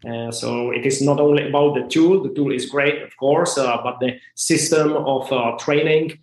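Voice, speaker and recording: Man, halting, in an echoey room. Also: mid-range voice, steady tone. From Czech Republic. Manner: halting, many "uh" fillers, earnest. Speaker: martial arts and strength instructor, guest. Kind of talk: casual interview chat. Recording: roomy echo.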